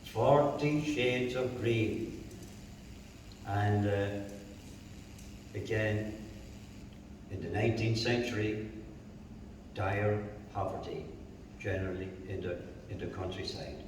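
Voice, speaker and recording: A man's voice: fairly low pitch, noisy background. A man with an Irish accent giving a jovial public talk as a co-author at a library event. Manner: slow, jovial, chuckles at his own jokes. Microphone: distant room mic. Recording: echoey hall recorded from far off.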